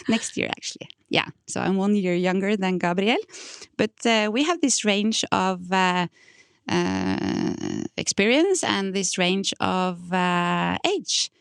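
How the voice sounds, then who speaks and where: A woman's voice: mid-range voice, very animated, slow pace, vocal fry, smooth, no room sound, clean studio sound. A woman in her forties, a gallery owner, hosting a casual panel talk in a hesitant way, full of fillers and restarts. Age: 40s. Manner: hesitant, many fillers and restarts, laughs easily.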